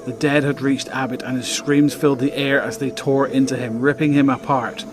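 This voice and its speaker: A man's voice: medium pitch, little pitch movement, moderate pace, noisy background. A man narrating a scripted audio drama as a dramatic storyteller.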